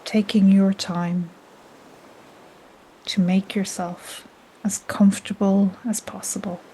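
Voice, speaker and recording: Slow, soft-spoken woman guiding relaxation. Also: medium pitch, low-quality recording. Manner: soft, breathy, half-whispered, soothing. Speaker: yoga nidra guide. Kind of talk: guided relaxation meditation. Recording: steady soft noise like ocean waves. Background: gentle ocean waves.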